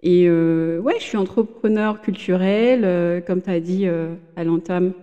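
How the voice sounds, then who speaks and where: A woman's voice: lowish voice, steady tone, measured pace, warm tone, big-room sound, dull audio. Hesitant woman in her thirties. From Benin. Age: 30s. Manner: hesitant, many fillers and restarts. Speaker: podcast guest. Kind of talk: casual interview chat. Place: room in a home in Germany.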